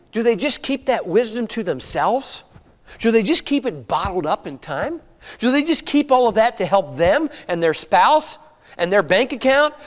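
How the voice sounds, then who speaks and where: High-voiced preaching man in a reverberant hall. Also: a bit bright. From United States. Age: 50s. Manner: conversational preaching with rhetorical questions and frequent "all right?". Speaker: pastor. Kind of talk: sermon.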